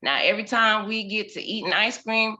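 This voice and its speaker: A woman in her thirties, a mother, telling a personal story on a video call with expressive, dramatic delivery and big swings in loudness. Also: medium pitch, fairly quick, highs cut off.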